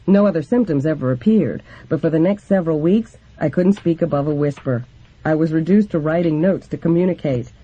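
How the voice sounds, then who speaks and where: A man's voice: fairly high pitch, a little fast. A man reading a memoir aloud as scripted narration, in a dull lo-fi recording. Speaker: reader of a book.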